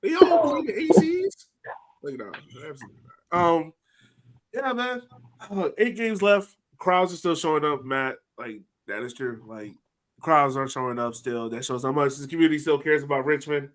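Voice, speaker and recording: Animated podcast host, higher-voiced man. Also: normal speed. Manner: animated, exclaiming, laughs often. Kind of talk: casual chat.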